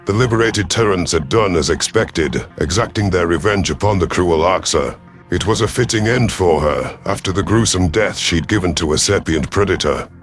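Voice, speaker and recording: A man voicing a proud, vengeful elderly alien reptilian commander in an audio drama, speaking in a formal, measured, sardonic way. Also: sits low, soft background music, natural voice. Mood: brooding. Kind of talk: audio drama first-person narration and dialogue.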